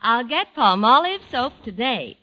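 A woman's voice: a little high, sing-song, measured pace. A woman playing a cheerful jingle reader, reciting singsong verse for a 1940s radio soap commercial. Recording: dull, band-limited 1940s radio recording.

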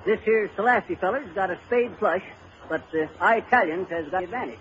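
An actor playing a shirking, blustering husband with folksy grammar and evasive hemming, in 1930s radio comedy style. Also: male voice, sits high, a little fast, a bit bright. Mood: eager know-it-all. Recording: muffled, noisy old radio recording.

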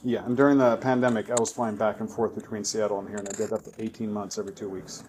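A man's voice: fairly low pitch, level tone, fast talker, darkish tone. A man who grew up in Zambia, Tanzania and Norway, a podcast guest telling his story in a chatty way. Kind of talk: casual interview talk.

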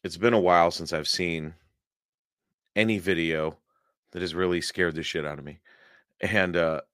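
A hoarse man hosting a paranormal podcast livestream, chatting casually and rambling with many fillers and chuckles. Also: low-pitched, fairly even intonation, normal speed, a bit bright, dry recording, clean studio sound. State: hoarse, losing his voice.

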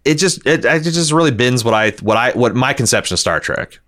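A man hosting a podcast, discussing television casually in a halting, rambling way and often repeating words as he reasons. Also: medium pitch, expressive, a bit bright. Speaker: podcast host reading listener feedback.

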